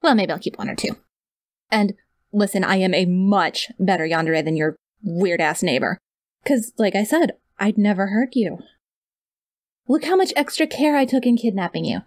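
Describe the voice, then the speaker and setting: A woman's voice: mid-range voice, lively intonation, normal speed, dry recording, studio quality, natural voice. A woman playing a sweet, awkward, clingy young yandere kidnapper in an ASMR audio roleplay, rambling and gushing straight to the listener. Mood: giddy, adoring.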